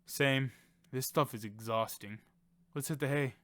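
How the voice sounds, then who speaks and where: A man playing an anxious, loyal, excitable friend in an amateur survival audio drama. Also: mid-range voice, unhurried, dry recording, studio quality, close to an everyday voice. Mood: earnest. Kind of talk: amateur audio fiction dialogue.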